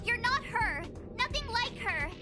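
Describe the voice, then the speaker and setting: A spirited young girl adventurer from an anime-style game. Her voice is very high, perky and expressive. Game music plays clearly behind her. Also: a little slow. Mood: indignant.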